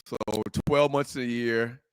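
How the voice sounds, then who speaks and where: A man's voice: mid-range voice, steady tone, slow pace, clean tone, dry recording. A man co-hosting a casual morning talk show, bantering and telling jokey stories. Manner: joking, storytelling banter. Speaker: co-host.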